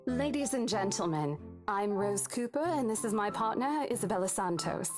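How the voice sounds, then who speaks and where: Woman voicing brisk female agent, businesslike, instructing, visual novel game, faint music. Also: medium pitch, lively intonation, lightly acted. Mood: polite, businesslike. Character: brisk, bossy female real estate agent.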